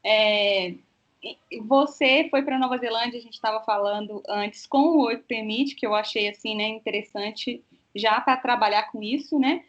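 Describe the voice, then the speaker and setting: Woman host, chatty, keeps checking in with the guest, fairly high voice, muffled call audio. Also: moderate pace, a bit bright. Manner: chatty, frequent tag questions. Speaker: interview host, works in student exchange. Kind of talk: casual interview.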